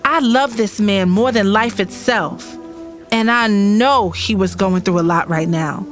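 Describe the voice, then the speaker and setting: A woman's voice: medium pitch, a little slow, faint music behind, natural voice. A woman playing a heartbroken young lover in an audio drama, pleading and insistent. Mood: anguished narration. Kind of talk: audio drama acting with first-person narration.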